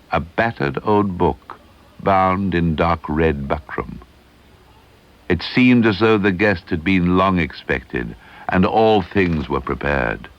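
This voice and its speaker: A man giving a measured, suspenseful reading of a ghost story, slowing and hushing at the eerie moments, on an old tape recording. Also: low voice. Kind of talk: dramatic audiobook narration. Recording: dull lo-fi archival tape digitised from cassette.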